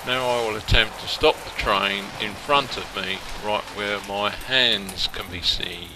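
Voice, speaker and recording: A man narrating a hobby product demonstration step by step, in a plain-spoken, deliberate way with hesitant pauses. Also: lowish voice, background noise. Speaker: hobbyist presenter demonstrating a model train radio control.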